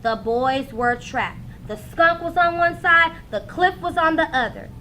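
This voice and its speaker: Bright, high-pitched woman reading a storybook. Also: measured pace, slight background noise. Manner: expressive, dramatic emphasis for young listeners. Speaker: teacher reading aloud to students. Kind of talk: animated children's storybook narration.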